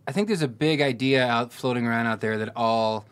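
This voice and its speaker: A man's voice: medium pitch, a little fast, smooth. A man in his thirties hosting a podcast, chatting casually in a laid-back way with lots of "dude". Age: 30s. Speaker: podcast host. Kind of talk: casual chat.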